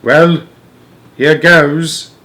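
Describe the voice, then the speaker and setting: A man playing a demanding old grandfather who shouts impatiently in a storybook squabble. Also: a little high, steady tone, very slow, pitched above the performer's own voice, full character voice. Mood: eager.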